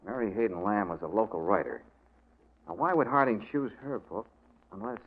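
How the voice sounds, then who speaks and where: An actor playing a brisk, shrewd American detective with a breezy, bossy manner, in a 1940s radio drama. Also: male voice, lowish voice, expressive, slightly rough. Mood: urgent, focused. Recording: muffled vintage radio recording.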